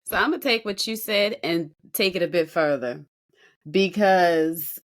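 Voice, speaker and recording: A woman with a slightly low voice speaks as a podcast co-host. Her commentary is conversational and emphatic, stacking similar phrases to drive each point home. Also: unhurried. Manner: emphatic, builds points through repeated phrases. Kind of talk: casual reaction commentary on a singing show.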